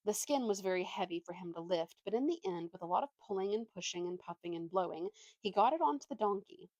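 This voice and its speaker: A woman's voice: mid-range voice, expressive, fast, darkish tone, no echo, lo-fi sound. Woman reading a storybook, very dry sound. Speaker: storybook reader. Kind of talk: expressive read-aloud narration.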